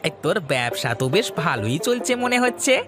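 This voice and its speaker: A man acts out a nosy, insinuating village busybody in a cartoon. His delivery is lively and swinging, full of drawn-out interjections and teasing caution. Music plays clearly behind the voice. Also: fairly high pitch. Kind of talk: cartoon dialogue acting.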